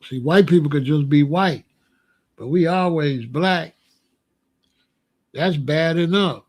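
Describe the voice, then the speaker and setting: A man with a somewhat high voice runs his own opinion show. He speaks bluntly in loose street slang and keeps firing rhetorical questions. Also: slow, darkish tone. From Chicago. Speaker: show host. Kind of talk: casual opinion monologue.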